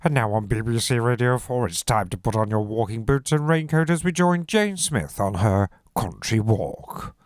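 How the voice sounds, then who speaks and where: An actor playing a formal continuity announcer, reading scripted parody radio links in a measured way. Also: male voice, medium pitch, animated, dry recording, clean studio sound, lightly acted.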